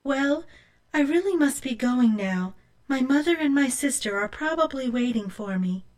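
Woman as a meek, high-pitched young girl. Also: lively intonation, normal speed, darkish tone. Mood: polite, hurried.

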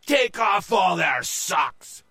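A man's voice: high-pitched, expressive, measured pace, a bit bright, voice pushed up, exaggerated voice. A man voicing a boastful, bossy male pirate captain in a storybook reading, roaring his orders.

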